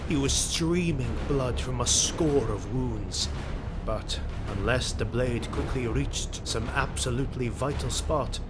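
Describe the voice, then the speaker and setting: A man giving grave, deliberate scripted narration of a fantasy adventure tale. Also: medium pitch. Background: prominent music.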